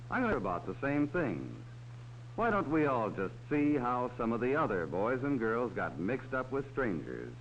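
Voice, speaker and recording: Man, narrator, old safety film, talks down to kids, lively pitch, muffled hissy soundtrack. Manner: talks down to children. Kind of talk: 1940s educational film narration. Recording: dull old film soundtrack with faint hiss.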